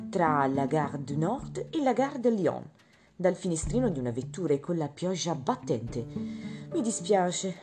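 A woman's voice: lowish voice, pitched above the performer's own voice. A woman voicing a chatty, proud young French manservant with eager, talkative bursts, over guitar music. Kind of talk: audiobook character voice. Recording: guitar music playing underneath.

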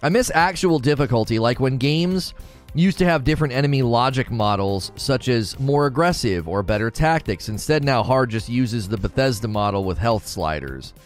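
A man hosting a casual livestream, chatting loosely and reading viewer comments aloud. He rambles and restarts his sentences often. His voice sits at an ordinary middle pitch, with faint music in the background. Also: lively intonation, normal speed. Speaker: livestream host.

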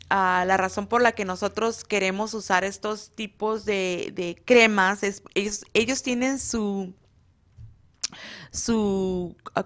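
A woman, a beauty school instructor whose first language is Spanish, talking on a radio interview and often pausing to search for words. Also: mid-range voice, fairly bright tone. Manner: hesitant, many fillers, searches for words.

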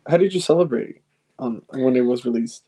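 A young man in his twenties hosts a casual podcast chat, talking in a loose, chatty way. His voice is mellow and relaxed, at an ordinary pitch, and he often circles back and restarts his sentences. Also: a little fast, slightly rough. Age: 20s. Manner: chatty, filler-heavy, restarts phrases. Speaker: podcast host.

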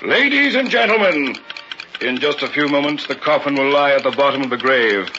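A man's voice: mid-range voice, animated, brisk, natural voice. Actor as a slick, pompous carnival showman. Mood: grand, showy. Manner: grandiose barker patter, curt when challenged. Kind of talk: 1960s radio horror drama acting. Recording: old muffled radio recording with music.

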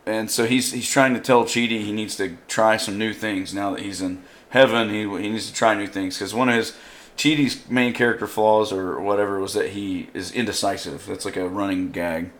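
Guy with a lowish voice chatting about a tv show, lots of um and uh. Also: steady tone, moderate pace, few pauses, a bit bright, slight background noise. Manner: rambling, many fillers and restarts. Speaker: show commentator. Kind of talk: casual chat recapping a show.

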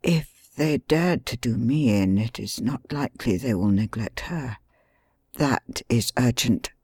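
Man reading as an injured detective, hoarse whisper, weak muttering, low and hushed, dry. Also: lively intonation, a little slow, dry recording. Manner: weak muttering, urgent instructions. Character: injured bandaged male detective, weak but scheming. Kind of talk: audiobook reading.

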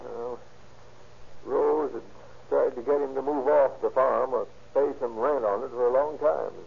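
An actor playing an irritable, evasive murderer under questioning in an old radio crime drama, sullen and defensive. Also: male voice, medium pitch, steady tone, unhurried, gravelly, breathy edge, exaggerated voice. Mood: grudging confession. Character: irritable evasive murder suspect, grudgingly confessing killer. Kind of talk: 1940s-50s radio crime drama acting. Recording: tinny, noisy old-time radio recording.

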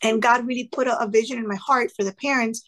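A woman's voice: on the high side, fast, low-quality recording. A woman in her thirties, a former teacher of Cuban family background, earnestly telling her story in an interview and acting out the people she quotes. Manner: earnest, animated, quoting others in lively asides. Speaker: guest, former classroom teacher. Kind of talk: conversational storytelling.

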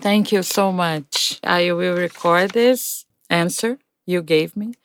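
A woman interviewing a guest, asking polite, measured questions and laughing easily. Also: fairly low pitch, a little hiss or hum.

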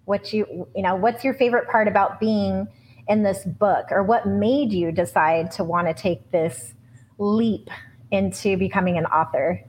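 A woman's voice: mid-range voice, a little slow, a little mellow, slight background noise, poor audio. A woman co-hosting a podcast who talks in an effusive, rambling way, often restarting her sentences. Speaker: podcast co-host. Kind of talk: podcast interview.

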